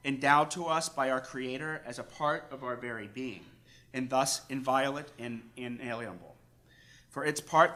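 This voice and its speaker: An American man, a priest, reading a formal paper aloud to a conference in a measured, emphatic, oratorical way. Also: mid-range voice, pushed and bright. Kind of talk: formal read-aloud lecture.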